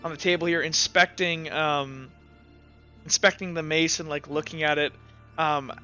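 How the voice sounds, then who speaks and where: A man's voice: on the high side, fairly even intonation, pushed and bright, clean tone, muffled recording, soft background music. A man running a tabletop game as game master, narrating off the cuff and often repeating words as he searches for the next. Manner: halting, repeats words mid-sentence.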